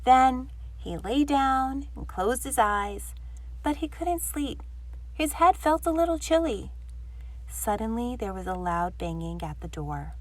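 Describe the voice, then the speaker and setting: Female storyteller for children's read-aloud: highly animated, higher-pitched, unhurried delivery, dry close sound. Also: dry recording, low-quality recording.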